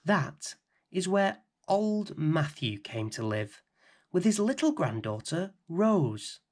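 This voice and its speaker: Slow-paced man reading a bedtime story. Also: sits high, sing-song, darkish tone. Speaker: storybook reader and host. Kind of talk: children's bedtime story narration.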